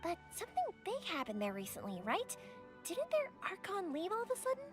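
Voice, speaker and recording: A woman voicing a cheerful, chatty and kind young fireworks maker in an anime game, with a friendly, expressive delivery. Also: very high voice, brisk, full character voice. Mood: friendly, curious. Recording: music behind the voice.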